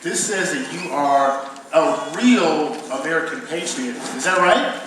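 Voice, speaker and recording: A man acts out a challenging, sardonic fatherly figure in a live stage play. His voice is a bit high, heard at a distance through heavy hall echo and background noise. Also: lively intonation, a little slow, a bit bright. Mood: curious, sizing up. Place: theater stage. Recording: echoey hall, distant mic, noisy background.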